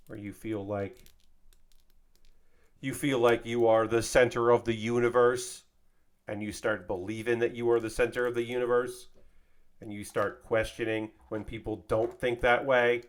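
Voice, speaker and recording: A man casually chatting on a livestream while building a model kit, loose and profane, tired with a slightly worn voice. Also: fairly low pitch. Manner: loose and profane, lots of fillers.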